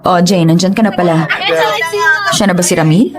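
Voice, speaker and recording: A woman's voice: lowish voice, moderate pace, over loud music, a loud crowd, natural voice. An actress playing a playful, protective eldest sister who teases her sibling in a radio drama. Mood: teasing. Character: teasing bossy eldest sister.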